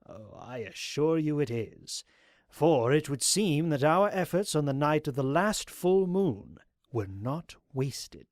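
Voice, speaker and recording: A man acts out a zealous pony cult leader in an audio drama. The voice is male, fairly high and closely recorded with little room sound. He speaks formally and courteously, with grandiose theatrical weight. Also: animated, slow pace, a little mellow, dry recording, studio quality. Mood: politely assured.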